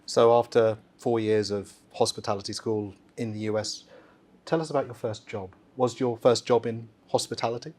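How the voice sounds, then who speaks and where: A man's voice: mid-range voice, fairly even intonation, normal speed, darkish tone. A man hosts a university podcast with a mid-range voice. His questions come out in formal, polished phrasing, often lavish with praise. He steers the interview steadily from one topic to the next. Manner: formal, effusive. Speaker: podcast host, professor.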